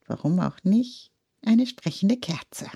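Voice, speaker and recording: A woman's voice: mid-range voice, expressive, slow, creaky, a little mellow, pitched above the performer's own voice, exaggerated voice. A woman voicing a grumpy young hen who grumbles and answers sarcastically, in a storybook reading. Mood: sarcastic.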